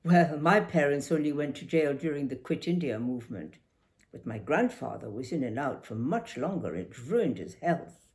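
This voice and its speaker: An older woman voicing a confident, forthright young Indian schoolgirl in a memoir reading, brisk and emphatic. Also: very deep voice, sing-song, a little mellow, full character voice.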